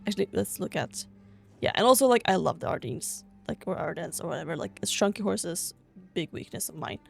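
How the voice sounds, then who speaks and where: A woman's voice: on the low side, animated, fairly quick, creaky, soft background music. A woman from Sweden chatting casually over gameplay in a gushing, rambling way, sounding a bit tired. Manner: gushing over cute things. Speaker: gaming vlogger.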